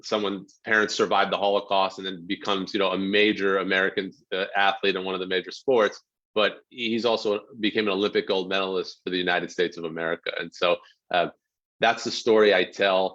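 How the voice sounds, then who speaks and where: A man's voice: low-pitched, level tone, a little fast, a bit bright. A man in his thirties, an author, talking earnestly about his book and reading a passage aloud over a video call. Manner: earnest, frequent "you know" fillers. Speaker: author guest. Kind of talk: casual talk and reading aloud. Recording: dull lo-fi video call audio.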